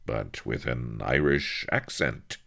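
Man playing a priggish, unflappable English gentleman hero. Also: rumbling low, slow, vocal fry, full character voice. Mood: alert, puzzled. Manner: cool, prim, stiff-upper-lip delivery.

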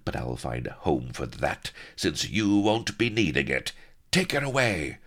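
Man voicing a gravelly, menacing pirate villainess. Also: extremely low pitch, lively intonation, measured pace, fairly bright tone, full character voice. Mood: gloating menace. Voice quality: gravelly, oily. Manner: mock-archaic, taunting speech. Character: menacing, sneering, gloating female pirate villain.

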